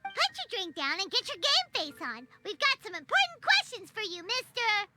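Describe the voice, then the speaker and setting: A woman's voice: extremely high pitch, wildly swooping pitch, moderate pace, full character voice. A woman voicing a tiny, cheeky female companion creature in a video game, bossy and speaking of herself in the third person. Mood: teasing. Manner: bratty, talks about herself in third person. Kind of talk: game voice acting. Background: loud game music.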